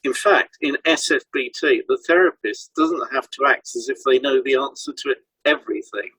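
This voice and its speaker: A man's voice: medium pitch, moderate pace, a bit bright, husky, dry recording, rough recording. A man co-hosting a podcast as a hypnotherapist, reading scripted explanations in an instructional way.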